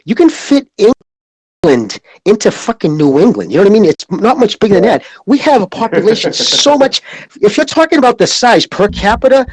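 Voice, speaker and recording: A man's voice: sits high, sing-song, moderate pace, husky, dry recording, low-quality recording. A blunt, sweary man from the United States in a casual, rambling sports chat. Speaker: podcast panelist.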